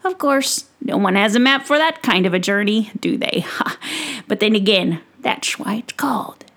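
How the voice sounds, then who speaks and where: A woman voicing a jolly, showy male pixie peddler who drags out his salesman patter in a booming voice. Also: normal speed, fairly bright tone, close to an everyday voice. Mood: teasing.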